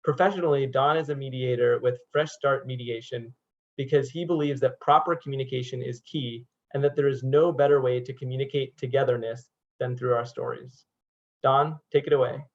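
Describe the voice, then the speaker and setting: A man of average pitch reads a prepared introduction as the host of an online event. His audio is dull and lo-fi, like a video call. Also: fairly even intonation, fairly quick. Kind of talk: scripted introduction read aloud.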